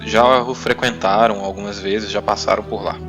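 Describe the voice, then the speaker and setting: Game master: Brazilian man, lowish voice, conversational improvised narration, music clearly underneath. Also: fairly even intonation, moderate pace. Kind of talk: improvised narration of a tabletop role-playing game.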